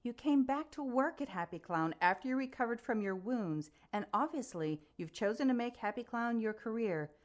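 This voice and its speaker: A composed female reporter played by an actress fronts a news special in a radio play. Her delivery is formal and measured, with a probing, scripted interviewer's manner. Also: a little high, animated, close to an everyday voice.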